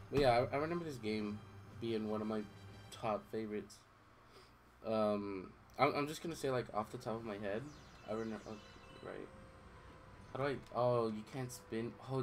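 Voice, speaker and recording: A man's voice: medium pitch, steady tone, normal speed, long pauses, slight background noise. Rambling young man streaming a game. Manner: rambling, distracted, swears casually. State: voice recovering from strain. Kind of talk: casual gameplay commentary. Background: game music.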